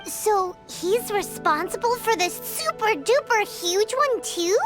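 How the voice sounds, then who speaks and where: A woman voicing a small, childlike, cheerful and excitable fairy guide in a video game, with a squeaky voice and exaggerated exclamations. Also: slow pace, over music, full character voice. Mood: curious, excited. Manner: refers to herself in the third person, exaggerated exclamations. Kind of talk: animated video game character acting.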